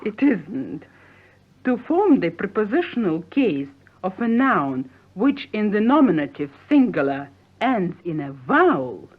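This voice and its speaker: Female radio tutor, 1950s style, didactic and unhurried, on a thin phone-like recording. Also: medium pitch, breathy edge. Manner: precise, didactic, carefully enunciated. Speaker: language teacher. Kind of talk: scripted radio language lesson, 1950s broadcast.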